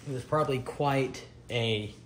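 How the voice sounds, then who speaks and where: A middle-aged man giving a casual, unscripted show-and-tell, halting and pausing mid-sentence. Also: mid-range voice. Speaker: retro computer vlogger. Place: dining room at home, indoors.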